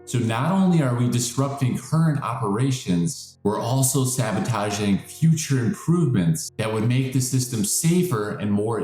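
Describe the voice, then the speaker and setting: A man's voice: on the low side, darkish tone, reverberant. A man narrating a scripted news explainer, speaking emphatically and dramatically, like a commentator pressing an urgent case. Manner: emphatic, dramatic, building lists for effect.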